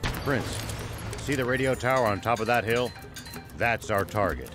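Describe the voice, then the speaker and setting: An actor playing a weary, noble old former general in a video game, speaking in a measured, formal and gravely earnest way. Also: male voice, medium pitch, over music, close to an everyday voice. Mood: resolute, commanding. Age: older.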